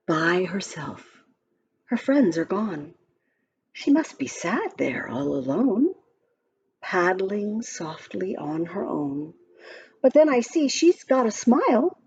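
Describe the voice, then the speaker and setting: A woman reading a children's picture book aloud in a gentle, expressive storytime voice. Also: mid-range voice, slightly rough, highs cut off.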